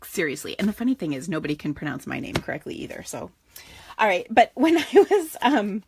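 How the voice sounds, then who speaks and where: A woman's voice: mid-range voice, sing-song, brisk, creaky, background noise. A woman with a Minnesotan accent chatting casually while hosting a live stamping stream, her voice tired and starting to go. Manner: chatty and rambling, laughs often. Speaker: stamping crafts host. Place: home craft office.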